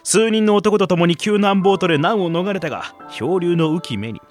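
An actor playing a gruff, playful middle-aged stranger with a quietly menacing side, talking roughly and teasingly in a radio drama. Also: male voice, sits high, normal speed, soft background music, natural voice. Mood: grave storytelling. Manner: rough colloquial speech, teasing, instructing. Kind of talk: radio drama dialogue and storytelling.

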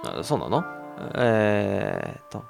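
A man's voice: fairly low pitch, huge pitch swings, slow, gravelly, a little mellow, faint music behind, heavily acted. An actor playing an impatient, easily puzzled young man with casual, slangy, whiny speech in a radio drama. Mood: curious, puzzled. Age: young adult. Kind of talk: radio drama dialogue with first-person narration.

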